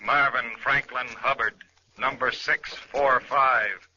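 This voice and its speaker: An actor playing a stern prison official calling a roll formally in a 1940s radio drama. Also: male voice, medium pitch, fairly even intonation, bright, forceful tone, exaggerated voice. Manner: clipped, formal, deliberate calling-out. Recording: muffled old radio recording.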